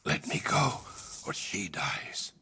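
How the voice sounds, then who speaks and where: A man playing a cold, menacing supervillain in a video game, gravelly, taunting and ominous. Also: lowish voice, big pitch swings, takes it slowly. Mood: threatening. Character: menacing, cold male supervillain obsessed with fear. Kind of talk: video game villain acting. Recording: distant voice in noisy ambience.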